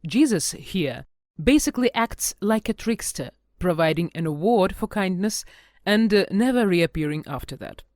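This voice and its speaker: A woman's voice: mid-range voice, animated, normal speed, a little mellow, no echo, clean studio sound. A woman podcast host reading scripted commentary with a wry touch.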